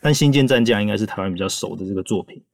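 A man's voice: on the low side, rapid-fire, vocal fry, no echo, studio quality. A man with a Taiwanese accent hosting a podcast, explaining things casually and chattily with little asides. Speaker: podcast host.

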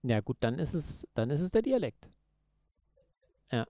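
Man, gravelly voice, slightly high, casual joking podcast chat. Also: big pitch swings, a little slow, warm tone, no echo. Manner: loose, joking banter. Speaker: podcast co-host.

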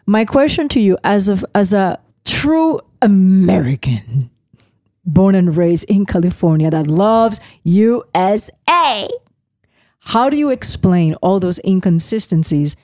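A woman from Puerto Rico co-hosting a casual chat podcast, impassioned and talking in rapid bursts. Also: mid-range voice, lively intonation, darkish tone, no room sound, studio quality. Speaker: podcast co-host.

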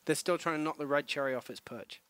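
A man's voice: on the high side, quick pace, a bit bright, clear, resonant tone, dry recording. A man co-hosting a podcast, chatting casually in an opinionated, playful way. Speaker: podcast co-host. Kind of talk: casual chat.

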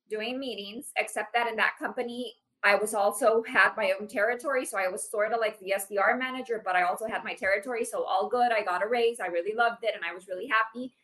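Bright-voiced woman in her thirties. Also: mid-range voice, low-quality recording. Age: 30s. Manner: animated, uses "like" often. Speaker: podcast guest, sales manager. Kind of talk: casual interview chat.

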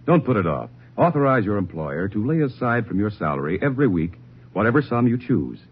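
A man's voice: on the low side, sing-song, brisk, a little hiss or hum. A man reading a persuasive, earnest 1950s radio savings ad. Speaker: announcer. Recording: dull old radio broadcast.

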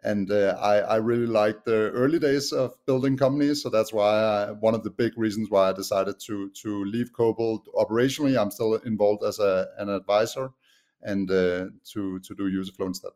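A middle-aged man from Denmark with a Danish accent, relaxed and chatty as a podcast guest. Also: lowish voice, moderate pace. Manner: relaxed, frequent restarts and fillers. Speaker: podcast guest, SaaS co-founder. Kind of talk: casual interview chat.

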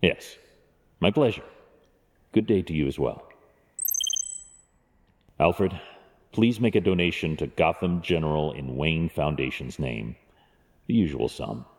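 A man playing a stern, brooding masked vigilante in an audio drama, speaking gravely in clipped, commanding phrases with a gravelly voice. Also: very deep voice, expressive, measured pace, long pauses, a little mellow, heavily acted. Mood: firm, businesslike. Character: brooding, stern adult male masked vigilante and wealthy guardian. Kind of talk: fan audio drama acting. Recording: long hall-like reverb.

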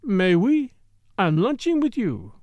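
A man's voice: high-pitched, huge pitch swings, takes it slowly, darkish tone, dry recording, muffled recording, full character voice. A man doing a seductive French woman. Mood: coaxing, mocking. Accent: French, put on. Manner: coaxing, teasing, sprinkled with French.

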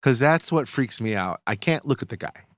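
A man riffing with his co-host in loose, playful banter. His voice sits at an ordinary pitch for a man. It is recorded close and dry, with no room sound. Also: lively intonation, a bit bright, no echo. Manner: joking, quick, playful. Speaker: podcast co-host. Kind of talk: casual banter.

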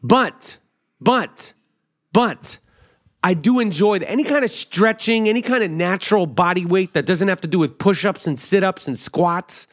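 A man with a notably high voice talks through a loose comedic monologue as a podcast host. He rambles, swears freely and pokes fun at himself. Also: normal speed. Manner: rambling, profane, self-mocking.

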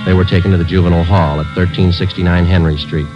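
A stoic American police sergeant, played by a man in a vintage radio drama. His voice is very low, and he delivers everything in terse, matter-of-fact statements. The old recording is dull, with music underneath. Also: flat delivery, fast, lightly acted. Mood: flat, matter-of-fact. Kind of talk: 1940s radio acting, clipped case narration.

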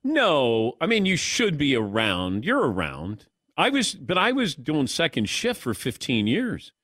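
A middle-aged man hosts a sports radio show with a lively, swinging delivery. He tells stories about himself in a relaxed, self-deprecating way. The studio mic sounds close and dry. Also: a little high, unhurried, dry recording. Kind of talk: casual radio talk with callers and crew.

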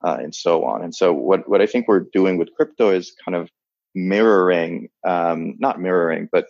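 A man from the Dominican Republic, Spanish his first language, talks in a deep, gravelly, husky voice. He pauses and restarts often as he works through ideas in an interview. The recording is dry and somewhat dull. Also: a little mellow, dry recording. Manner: halting, many restarts and fillers. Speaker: guest. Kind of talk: thoughtful interview talk.

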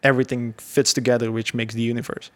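A man's voice: mid-range voice, flat delivery, fast talker. A man in his thirties from Belgium, a guest speaking casually and hesitantly with many fillers and restarts. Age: 30s. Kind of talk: casual conversational interview.

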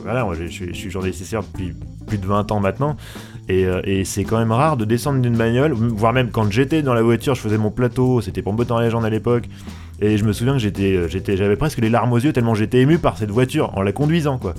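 A man's voice: on the low side, quick pace, darkish tone, faint music behind. A man in his forties, a car journalist hosting a podcast, speaking eagerly and often stumbling over his words. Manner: enthusiastic, stammers and restarts a lot. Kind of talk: podcast interview.

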